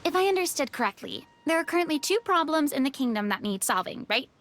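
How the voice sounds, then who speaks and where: Practical young girl adventurer, very high voice, direct and outspoken, animated game style. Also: fast talker, slight background noise. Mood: businesslike. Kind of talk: animated video game voice acting.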